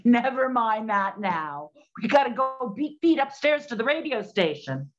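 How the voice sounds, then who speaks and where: A woman's voice: fairly high pitch, sing-song, a little slow, faint music behind. Dull-sounding plucky 1920s lady detective, a woman acting. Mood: indignant, brisk. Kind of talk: scripted period radio drama acting.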